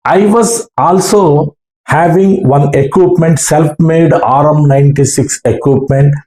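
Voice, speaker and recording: A man with an Indian accent tells of his own experience at an unhurried, halting pace. His voice is soft-edged and mellow, and he builds each sentence in short, deliberate pieces. The recording has an echoing, roomy sound. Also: on the high side. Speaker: ham radio operator. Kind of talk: recounting a personal experience.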